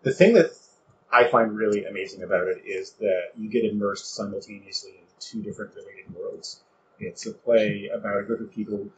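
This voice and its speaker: A man's voice: lowish voice, a little mellow. A man hosting a podcast, giving conversational commentary. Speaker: podcast host. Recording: dull audio with faint background noise.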